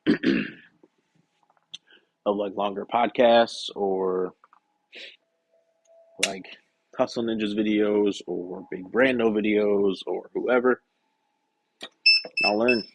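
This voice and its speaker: A young man chatting casually on a work-shop live stream, rambling and correcting himself, his voice hoarse and scratchy from a cough. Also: lowish voice, fairly even intonation, takes it slowly, pauses often. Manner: rambling, self-correcting, soft-spoken asides. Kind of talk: casual live-stream chat while working.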